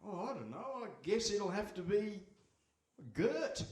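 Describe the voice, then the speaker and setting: A man's voice: high-pitched, expressive, measured pace, a little mellow. An actor playing a reluctant, henpecked fisherman with a groaning, hesitant manner in a live radio play. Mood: fretful. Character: reluctant, put-upon henpecked fisherman husband. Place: stage before a live audience in a hall. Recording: echoey hall, distant microphone.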